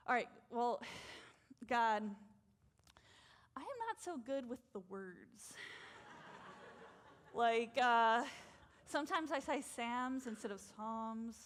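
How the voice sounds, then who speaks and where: Woman voicing fretful stammering prophet, high-ish, hesitant, casual retelling. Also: lively intonation, slow pace, long pauses, a bit bright. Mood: self-doubting. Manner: hesitant, stammering, full of fillers and restarts. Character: anxious, doubtful male prophet, pleading.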